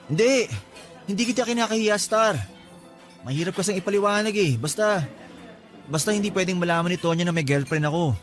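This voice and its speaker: A male actor as a nervous two-timing boyfriend in a radio drama. His voice sits fairly high for a man, he stumbles and repeats himself under pressure, and music plays underneath. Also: a little fast, long pauses, lightly acted. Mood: apologetic, pleading. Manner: stammers and repeats himself when flustered. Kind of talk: Tagalog radio drama acting.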